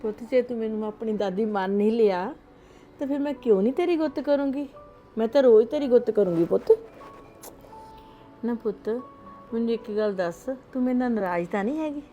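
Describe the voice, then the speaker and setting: Actress as an older grandmother, tender and pleading, voice pitched a touch high. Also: animated, a little fast, dark tone, muffled recording, soft background music, close to an everyday voice. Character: remorseful loving grandmother, older woman. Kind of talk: family drama acting.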